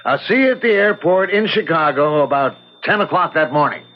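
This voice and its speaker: An actor playing a genial middle-aged war veteran, a chummy old buddy, in a radio drama. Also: male voice, a little high, expressive, a little fast, lo-fi sound, faint music behind, natural voice. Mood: chummy, eager.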